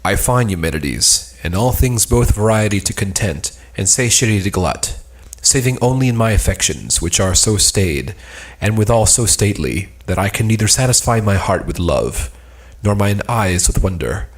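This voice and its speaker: A man's voice: fairly low pitch, lively intonation, moderate pace, close to an everyday voice. A man playing a lovesick, melancholy young nobleman in a stage play, speaking archaic lines in a lofty, declamatory way. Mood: wistful, lofty.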